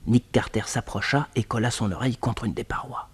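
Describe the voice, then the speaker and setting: A man's voice: medium pitch, fairly quick, slightly rough. A man narrating a French detective serial in a dramatic, scripted storytelling style.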